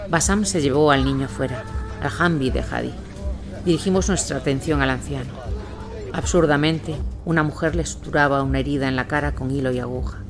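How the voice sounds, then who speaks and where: A man's voice: medium pitch, lively intonation, brisk. A man reading a scripted first-person war account as its narrator. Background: music.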